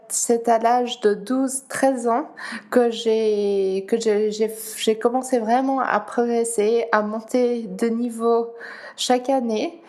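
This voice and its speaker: A young woman from Geneva whose first language is Dutch, answering interview questions slowly, with pauses and repeated words. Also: on the high side, a little mellow. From Geneva, Switzerland. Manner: slow, halting, many pauses and repeated words. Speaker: guest, para-athlete.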